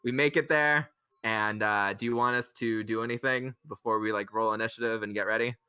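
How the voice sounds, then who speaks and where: A talkative man chatting casually as a player in a tabletop roleplaying game, quick to cut in on others. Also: lowish voice, fairly even intonation, sharp tone, smooth, no room sound.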